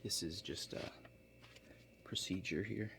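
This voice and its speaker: A man playing a laid-back construction contractor in a soft-spoken ASMR role-play, talking in a halting, matter-of-fact way. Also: deep voice, creaky. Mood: hushed, procedural. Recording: faint background noise.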